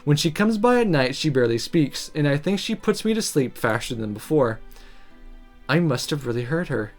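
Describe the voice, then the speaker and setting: A woman reading a first-person visual novel story aloud in an expressive, dramatic, introspective way. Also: low-pitched. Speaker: visual novel let's player.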